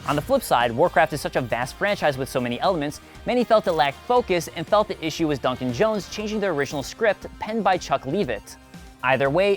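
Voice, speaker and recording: A man hosting a video essay, reading scripted narration with wry, conversational asides. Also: a little high. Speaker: host of a video essay series.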